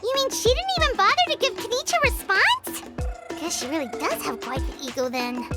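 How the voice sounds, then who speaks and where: A woman voicing a small, chirpy, opinionated female fairy companion in a video game, with a squeaky childlike voice. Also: sing-song, brisk, music in the background. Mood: indignant, doubtful. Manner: refers to herself in third person, exclamatory.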